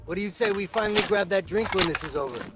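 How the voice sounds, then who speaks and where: A man's voice: high voice, quick pace, over loud music, close to an everyday voice. A man playing a bold, easygoing special forces operative in a military video game. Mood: confident, teasing.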